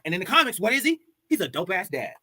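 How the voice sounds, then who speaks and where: High, lively, husky-voiced man host. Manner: excitable, playful, puts on quick voices when quoting. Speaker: podcast host. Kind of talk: casual chat, animated.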